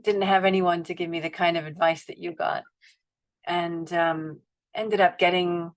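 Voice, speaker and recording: A woman's voice: fairly low pitch, fairly even intonation, moderate pace. A middle-aged woman on a panel telling her own career story in a reflective, roundabout way, with many restarts and fillers, speaking over a video call from home. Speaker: panelist at a family foundation. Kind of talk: webinar panel talk.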